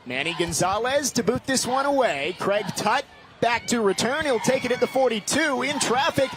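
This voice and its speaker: A man's voice: very high-pitched, lively intonation, moderate pace, a crowd in the background. A man calling live sports play-by-play in clipped, vivid phrases. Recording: noisy live game broadcast.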